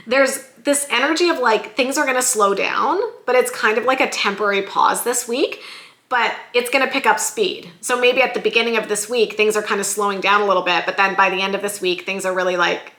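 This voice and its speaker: A woman giving a weekly tarot love reading in a chatty, lively way, her voice going a little hoarse. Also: a little high, echoey room. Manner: chatty, lively, says "like" and "you guys" often. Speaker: tarot reader.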